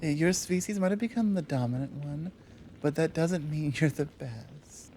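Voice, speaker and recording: A woman playing a tall, powerful, protective Amazon in an intimate ASMR roleplay, talking softly and teasingly with halting pauses. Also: sits low, slight background noise. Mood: amused, incredulous.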